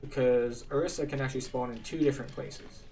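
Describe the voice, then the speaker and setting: A man's voice: medium pitch, steady tone, brisk, a little mellow, faint music behind, low-quality recording. A man giving casual, explanatory commentary on a game walkthrough. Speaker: game streamer.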